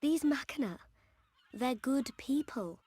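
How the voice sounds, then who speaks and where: A light, fairly high female voice speaks slowly and softly as a frail but brave young heroine. She sounds gentle and pleading, in game cutscene style. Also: animated, breathy edge, no echo, full character voice. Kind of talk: scripted game cutscene acting.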